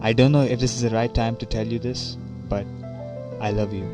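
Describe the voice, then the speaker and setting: A man's voice: medium pitch, steady tone, brisk, natural voice. An earnest, self-sacrificing man in love, played by a male actor speaks in a mid-range voice. It is an amateur audio drama with music running underneath. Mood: tender, serious. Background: music bed.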